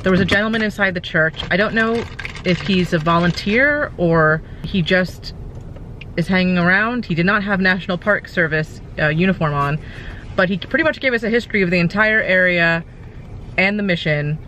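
A woman's voice: on the low side, normal speed, bright, forceful tone, slight background noise. Chatty woman vlogging from her car. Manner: chatty, informative recap. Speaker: travel vlogger. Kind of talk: casual travel vlog to camera. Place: inside a parked car. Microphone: camera held at arm's length.

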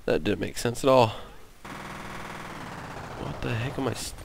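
A young man commenting casually on his gameplay, wry and talking to himself, his voice going hoarse. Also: medium pitch, a little slow, noisy background. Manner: laid-back, wry, talks to himself while playing. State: losing his voice. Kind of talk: casual let's play commentary.

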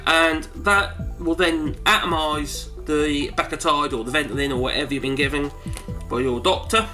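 Wheezy man rambling through a home demo. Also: fairly high pitch. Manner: rambling, chatty, self-correcting. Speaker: home video maker. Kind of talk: casual how-to demonstration. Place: cluttered home study, indoors.

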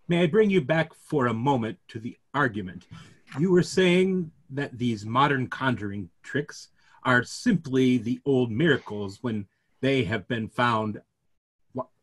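A man's voice: medium pitch, lightly acted. A man in his fifties reading a play as a mild, earnest clergyman who reasons things out at length. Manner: earnest, discursive. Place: small study, video call.

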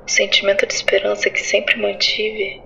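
Woman acting grave, creaky-voiced war-weary soldier. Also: mid-range voice, monotone, normal speed, sharp tone, a little hiss or hum, rough recording. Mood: despairing. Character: weary soldier Drax, somber, losing hope under siege. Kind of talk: audio drama narration and dialogue.

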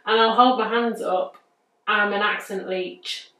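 A woman in her thirties with a northern English accent talks slowly and casually through a nail tutorial, musing aloud as she works. Her voice sounds roomy, picked up from a little way off the mic in a bright indoor studio. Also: mid-range voice, fairly even intonation, recorded from a distance. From England. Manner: chatty, easygoing, thinking aloud. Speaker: nail artist demonstrating a design. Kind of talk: casual step-by-step tutorial talk.

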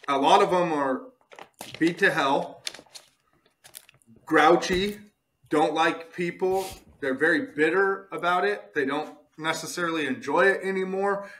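A man's voice: on the high side, a bit bright. A man hosting a podcast, talking casually in an earnest, emphatic, motivational way and repeating his points for weight. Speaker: podcast host.

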